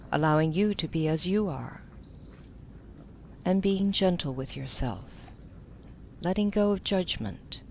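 A woman leading a guided meditation as an instructor, speaking gently with soft phrase endings. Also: on the low side, slight background noise.

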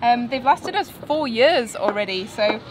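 A woman's voice: on the high side, animated, measured pace. A woman in her thirties, a sailing vlogger, explaining in a matter-of-fact way on a marina dock. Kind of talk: casual explaining to camera. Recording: outdoor noise behind.

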